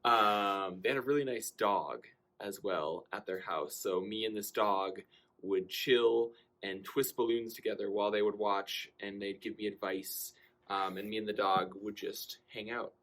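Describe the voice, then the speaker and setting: A man's voice: on the low side, a little slow. A young man telling a personal anecdote in a casual, conversational way, acting out bits of dialogue as he goes. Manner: animated delivery, acting out quoted dialogue. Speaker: storyteller.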